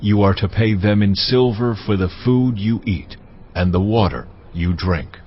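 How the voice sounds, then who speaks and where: A man's voice: lowish voice, a little slow, highs cut off, slight background noise, close to an everyday voice. A man playing a solemn, commanding God in a dramatized scripture reading. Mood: stern, commanding. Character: God, commanding deity addressing his people.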